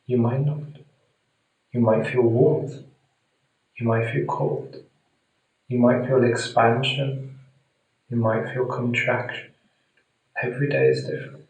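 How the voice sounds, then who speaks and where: Slow-speaking male meditation guide in echoey room. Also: medium pitch, expressive, darkish tone. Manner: soothing, deliberate, with long pauses. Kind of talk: guided meditation, spoken gently to the listener. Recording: very echoey room, distant mic.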